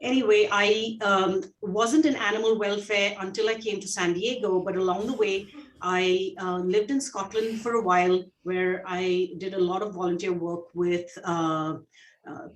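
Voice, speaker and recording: Woman, Indian accent, gentle call co-host, pausing often; roomy, off-mic sound. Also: medium pitch, far from the mic, a little hiss or hum. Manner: gentle, many fillers and pauses. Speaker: guest co-host, shelter veterinary director. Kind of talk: casual video call chat. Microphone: video call.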